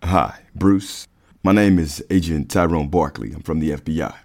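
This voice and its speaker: Man acting FBI agent, thirties, very low voice, firm, pressing, crime audio drama. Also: moderate pace, vocal fry, darkish tone, exaggerated voice. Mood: polite, firm.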